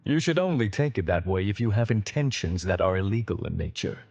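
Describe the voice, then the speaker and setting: An actor playing a stern, honorable veteran alien admiral in a video game, speaking in a formal, measured, authoritative way. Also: male voice, fairly low pitch, expressive, gravelly, a little mellow, lo-fi sound. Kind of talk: video game cutscene acting.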